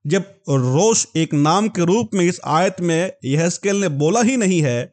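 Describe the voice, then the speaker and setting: A man's voice: sits high, expressive, darkish tone. A man teaching a Bible lesson, carefully spelling out words and earnestly addressing his listeners as his dear ones. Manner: slow, deliberate spelling-out of words.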